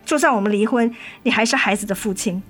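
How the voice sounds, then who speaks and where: A woman's voice: mid-range voice, quick pace, a bit bright, lightly acted. A woman acting a weary, resolute wife and mother in a radio drama, firm and reproachful with her husband. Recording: studio radio drama with music underneath.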